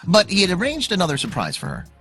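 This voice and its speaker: A man's voice: high-pitched, huge pitch swings, fast talker, a bit bright. A man narrating a scripted dramatic story in a suspenseful, emphatic way. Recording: music under the voice. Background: background music.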